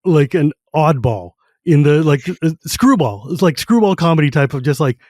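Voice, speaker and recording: A man's voice: on the high side, expressive, normal speed, a little mellow, dry recording, clean studio sound. A man in his thirties from California hosting a podcast, chatting casually in a rambling way with lots of filler words and easy laughter. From San Jose, California. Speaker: podcast host. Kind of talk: casual chat.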